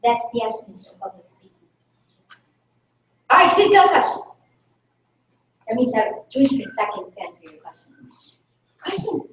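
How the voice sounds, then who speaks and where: A high-pitched woman from the Philippines, a native Tagalog speaker, speaks to a group with energy and keeps pulling the audience in with questions. The room is very echoey, and she sounds a little distant from the mic. Faint noise sits under her voice. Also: normal speed, pauses often. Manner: energetic, rhetorical, engaging the audience. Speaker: speaker at a club meeting. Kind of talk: public speaking talk with Q&A. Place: meeting room.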